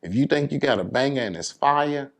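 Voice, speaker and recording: A man's voice: medium pitch, animated, brisk, vocal fry. An American man speaking casually as an interview guest, colloquial and full of conversational check-in phrases. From New York City, USA. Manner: colloquial, peppered with "you know what I'm saying".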